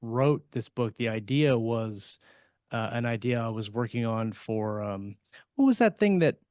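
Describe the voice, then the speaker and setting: A man talking casually on a panel as an author, halting and repeating words as he thinks aloud. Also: medium pitch, expressive, darkish tone, no echo, clean studio sound. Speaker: science fiction author on a panel podcast.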